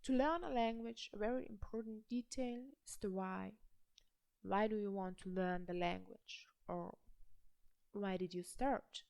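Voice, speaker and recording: A young woman from Germany with a German accent, speaking slowly and carefully to the camera in a personal language vlog. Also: mid-range voice, creaky, a little mellow, dry recording, studio quality. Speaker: language vlogger. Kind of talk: slow personal vlog talk.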